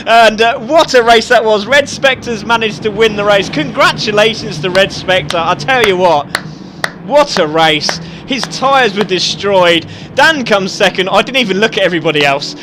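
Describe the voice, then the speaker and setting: A man giving excited live commentary on a sim race, often shouting and laughing. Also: high-pitched, normal speed, noisy background.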